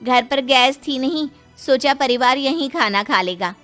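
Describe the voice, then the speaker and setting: A woman's voice: sits high, lively intonation, measured pace, fairly bright tone, faint music behind. A woman playing a humble, hard-up married sister with a meek, earnest manner in a story. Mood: resigned.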